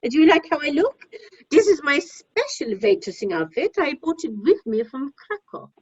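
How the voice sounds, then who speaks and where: A high, very animated Polish cleaning woman played by an actress, deferential yet plain-spoken. She has a Polish accent and is heard through muffled video call audio in a radio play. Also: normal speed. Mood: matter-of-fact. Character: emotional, blunt Polish cleaning woman and waitress. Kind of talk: amateur radio play acting.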